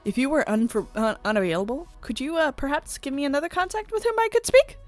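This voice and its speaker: A woman's voice: high-pitched, animated, quick pace, voice pushed up. A woman voicing a courteous, earnest female AI pop idol in a visual novel, with prim, formal phrasing. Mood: anxious, formal. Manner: prim, courteous, stilted wording. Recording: music playing behind the voice.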